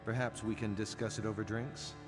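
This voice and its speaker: An actor playing a suave, self-assured, scheming merchant lord in a video game, with a smooth, leisurely, insinuating way of talking. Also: male voice, fairly low pitch, steady tone, brisk, natural voice. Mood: silky, scheming. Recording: long reverb, loud music behind.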